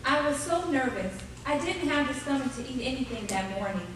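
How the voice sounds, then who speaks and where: A woman's voice: high voice, animated, a little fast. A woman playing the narrator who recalls her childhood in a live old-time radio play. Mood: reminiscing. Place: theater stage before live audience. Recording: echoey noisy live stage, distant mic.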